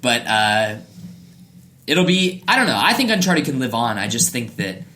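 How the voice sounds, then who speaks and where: A man's voice: mid-range voice, sing-song, normal speed, pushed and bright, slight background noise. A man hosting a podcast in a casual, conversational style, freely giving his opinions. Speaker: podcast host. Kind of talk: casual chat about games and movies.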